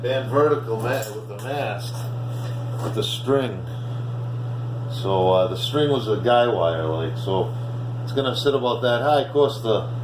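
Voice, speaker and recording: A man giving a casual, unscripted hands-on walkthrough as a hobbyist, rambling as he thinks aloud. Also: mid-range voice. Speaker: amateur radio hobbyist. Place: indoor room with bare concrete floor. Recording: roomy echo, clear background hum.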